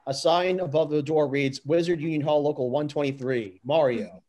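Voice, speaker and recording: A man reading stage directions in a plain, slightly halting way at an amateur script reading. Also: a little high, warm tone.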